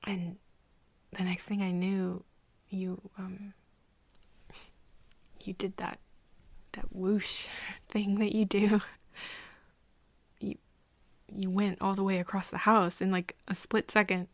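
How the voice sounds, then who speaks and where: A woman playing a caring, flustered young woman in an ASMR roleplay, with a soft, breathy voice and a tender, stammering manner. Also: mid-range voice, level tone, takes it slowly, pauses often, no echo. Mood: tender, hesitant. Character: caring, flustered young woman soothing her vampire friend.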